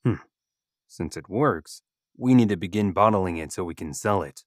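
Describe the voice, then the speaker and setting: Low, scheming bandit archer played by a man. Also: animated, moderate pace, dry recording, clean studio sound. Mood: cool, scheming. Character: calculating male bandit archer, scheming and pragmatic. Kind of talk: audio drama acting.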